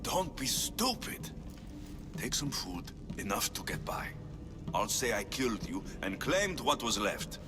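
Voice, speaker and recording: An actor playing a tough, wry, menacing mercenary for hire in a video game cutscene. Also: male voice, fairly low pitch, sing-song, unhurried, over music. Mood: stern, commanding. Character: tough, sardonic male mercenary for hire, adult.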